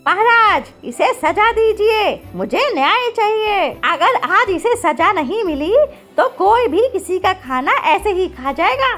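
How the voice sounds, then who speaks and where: A woman plays an indignant old village granny in a cartoon. Her voice is extremely high and swoops about. She draws out her whiny, insistent complaints. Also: sing-song, unhurried, hardly any pauses, fairly bright tone, soft background music, heavily acted. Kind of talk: Hindi animated comedy acting.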